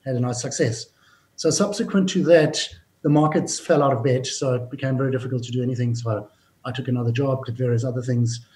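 A man's voice: medium pitch, fairly even intonation, brisk, a little mellow, low-quality recording. Businesslike man recounting his career. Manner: businesslike, restarts phrases often. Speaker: mining company executive, guest.

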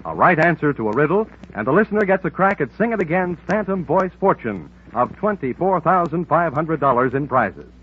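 A man's voice: on the high side, big pitch swings, fairly quick, few pauses, breathy edge. A male network announcer delivering a 1950s radio promo with polished, showman-like salesmanship. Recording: muffled vintage radio recording, faint noise.

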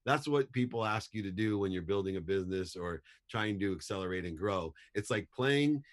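A man's voice: deep voice, lively intonation, fairly quick. Emphatic motivational man in his fifties. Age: 50s. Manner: emphatic, punchy, repeats key phrases for effect. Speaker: guest, entrepreneur and motivational speaker. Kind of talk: energetic motivational storytelling.

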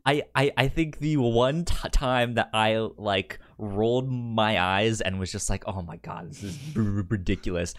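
A man's voice: on the low side, measured pace, hardly any pauses. A man co-hosting a casual film discussion, stammering and repeating the first sounds of words. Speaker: podcast co-host.

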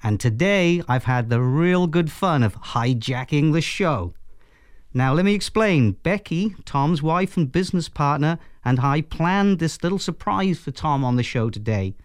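Upbeat Welsh man with a smooth voice. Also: fairly high pitch, expressive, measured pace, no room sound, studio quality. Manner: playful, upbeat, jokey. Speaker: guest host for the day, software executive. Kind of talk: podcast hosting.

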